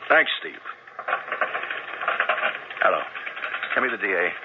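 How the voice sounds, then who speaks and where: A brisk police sergeant working the phone. His voice moves briskly up and down as he talks business. The sound is tinny, like an old radio broadcast. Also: low voice, expressive, very noisy. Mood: businesslike.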